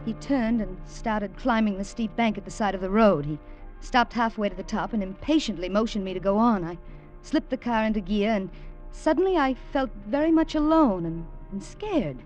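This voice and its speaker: A young New England woman, voiced by an actress, who tells her story and turns breathless and stammering whenever fear rises. Her pitch is middling for a woman. Music plays clearly behind her in this old radio recording. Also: normal speed, a little mellow, lightly acted, rough recording. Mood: tense. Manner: breathless, stammering in tense moments. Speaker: narrator and lead character. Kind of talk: 1950s radio drama narration and acting.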